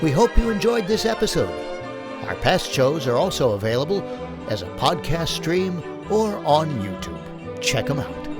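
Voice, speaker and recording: Animated male audio drama host. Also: a little high, moderate pace, music in the background. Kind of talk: scripted sign-off and credits.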